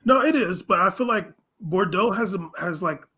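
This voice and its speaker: A man's voice: high voice, expressive, normal speed, bright, forceful tone, slightly rough. A man talking casually about sports as a podcast guest.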